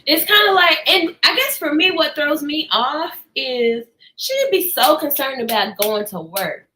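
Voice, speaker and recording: A woman's voice: sits high, expressive, measured pace, bright, forceful tone. An American woman co-hosting a book discussion in casual conversation, with informal, slangy phrasing. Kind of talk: casual chat. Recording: noticeable background noise.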